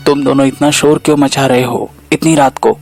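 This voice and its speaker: A man's voice: medium pitch, steady tone, brisk, gravelly, faint music behind, lightly acted. A man acting village men in a cartoon moral tale. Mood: earnest.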